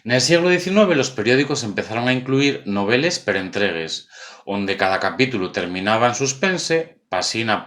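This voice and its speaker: A man's voice: on the low side, fairly bright tone. A man with an Asturian accent hosting an informal explainer video, speaking in a conversational, wry way. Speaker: video host presenting local cultural history.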